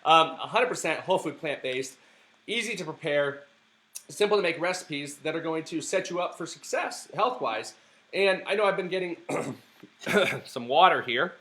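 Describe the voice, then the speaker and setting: A man with a hoarse, sore throat hosting a casual live stream from a family home, talking with eager conviction and plenty of ums and restarts. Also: on the high side, lively intonation, normal speed, sharp tone. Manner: enthusiastic, preachy advocacy with many fillers and restarts.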